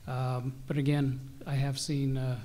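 A hoarse man in his forties, a school board candidate, speaking earnestly and wordily into a desk mic. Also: medium pitch, completely flat delivery, a little slow, dark tone. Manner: earnest, wordy, with restarts. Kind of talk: forum statement. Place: meeting room at a panel table. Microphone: desk mic on a stand.

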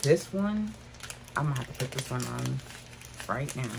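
Chatty woman in her fifties, gravelly. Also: extremely low pitch, lively intonation, normal speed, noisy background. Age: 50s. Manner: chatty, addresses viewers as y'all. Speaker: haul vlogger. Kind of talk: casual haul chat. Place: bedroom at home.

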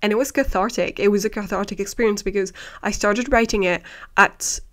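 A woman's voice: mid-range voice, a little fast. A young woman whose first language is not English, talking animatedly and thoughtfully into a close desk microphone in a home room. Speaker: vlogger. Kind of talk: casual chat, reading out viewer answers. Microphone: desk microphone close to the mouth.

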